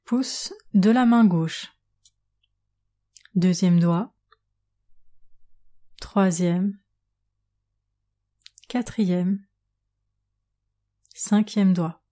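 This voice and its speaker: Woman, meditation guide, calm and measured, unhurried slow delivery, dark relaxed timbre, medium pitch, long pauses. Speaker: yoga nidra instructor.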